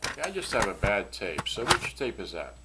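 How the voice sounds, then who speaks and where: A man's voice: lowish voice, very animated, fairly quick, loud music behind the voice. A man giving a casual, unscripted hands-on demonstration as a product reviewer, rambling and muttering to himself as he works. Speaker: product reviewer and seller demonstrating vintage electronics. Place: cluttered indoor workbench. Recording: noisy room recording with clicking sounds.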